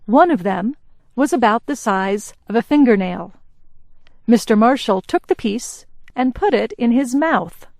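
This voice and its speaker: Woman newsreader, slightly high, dry close studio read, slow and even. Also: creaky, no echo. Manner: slow, even, carefully paced reading. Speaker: news reader. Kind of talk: scripted news narration.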